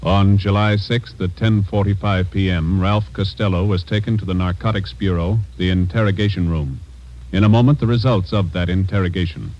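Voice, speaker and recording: Low-voiced formal American radio announcer man. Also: fairly even intonation, a little mellow. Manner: formal, measured. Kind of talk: 1950s scripted radio announcing. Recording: dull vintage radio recording, faint music.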